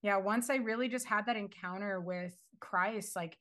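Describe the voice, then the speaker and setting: A young woman telling her life story in a relaxed, earnest way. Her pitch sits in the middle, and she laughs now and then. She leans on casual fillers as she talks about finding peace. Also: normal speed. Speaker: guest sharing her faith story. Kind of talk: casual faith testimony.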